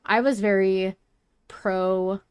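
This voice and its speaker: A woman's voice: mid-range voice, steady tone, slow pace, clear, resonant tone, dry recording, clean studio sound. A woman hosting a podcast, talking casually and with plenty of opinions in a fan discussion. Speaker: podcast host.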